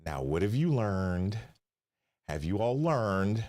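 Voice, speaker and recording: A man's voice: lowish voice, big pitch swings, takes it slowly, darkish tone. A man hosting a live stream, reacting with slangy, profane banter and reading tips aloud. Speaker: livestream host. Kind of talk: casual live-stream commentary.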